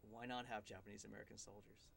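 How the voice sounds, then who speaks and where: A middle-aged man, a guest filmmaker in a studio interview, explaining in a measured way with frequent ums and uhs. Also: fairly low pitch, little pitch movement, vocal fry, crowd noise. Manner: measured, explanatory, many fillers.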